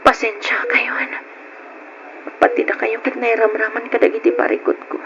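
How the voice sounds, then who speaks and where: Woman acting a despairing, high-voiced young mother. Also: sing-song, normal speed, muffled recording, background noise. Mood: weak, apologetic. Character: despairing young mother, sick and guilt-ridden in hospital. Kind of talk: Ilocano radio drama acting.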